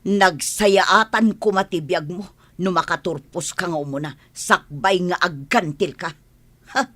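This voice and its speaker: A woman's voice: on the low side, measured pace, fairly bright tone, no room sound. A woman playing an emotional, strong-willed character in a family audio drama, reproachful and plaintive in delivery. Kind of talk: melodramatic audio drama dialogue.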